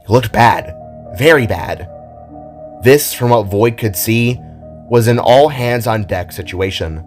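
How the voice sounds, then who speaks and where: A man's voice: on the low side, takes it slowly. A man reading a scripted fantasy story as narrator, in a dramatic storytelling manner. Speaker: narrator of an amateur audio drama. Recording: music under the voice. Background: background music.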